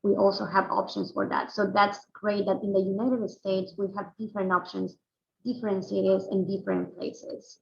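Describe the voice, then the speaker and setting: A woman from Ecuador speaks English, easy and informal, leaning on "like" as she walks through her points. Her voice carries a gravelly fry. The sound is dull and lo-fi, typical of a video call. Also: medium pitch, level tone, normal speed. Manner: informal, frequent "like" fillers. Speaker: international student advisor. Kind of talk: webinar presentation. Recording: dull lo-fi webinar audio.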